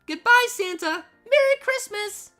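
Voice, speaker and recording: A woman's voice: very high-pitched, expressive, measured pace, bright, forceful tone, faint music behind, heavily acted. A woman voicing a bubbly, naive, childlike cartoon girl with an earnest, ditzy manner in a storybook reading. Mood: cheerful. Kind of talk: storybook fan fiction reading.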